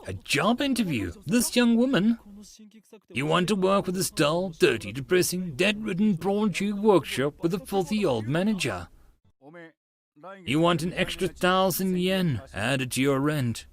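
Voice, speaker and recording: A melodramatic young man of eighteen as a male narrator plays him, convinced of his own genius. His voice is fairly high and swings widely, with pompous, indignant, theatrical delivery for an audio story. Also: sing-song, unhurried, heavily acted. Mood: pompous outrage. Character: melodramatic, grandiose eighteen-year-old male self-styled genius.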